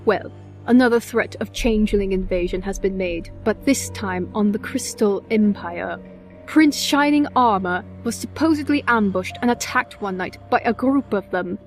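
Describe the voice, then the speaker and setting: A woman's voice: mid-range voice, moderate pace, faint music behind, lightly acted. A woman playing a smug, refined elder-sister pony, a powerful government adviser, formal and composed in an audio drama. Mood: grave, formal. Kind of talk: scripted audio drama acting.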